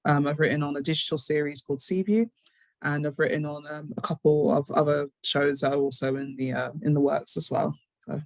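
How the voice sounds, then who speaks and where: A woman's voice: sits low, level tone, fairly quick, no echo, rough recording. A British woman speaking casually as an interview guest, a little hesitant and self-deprecating.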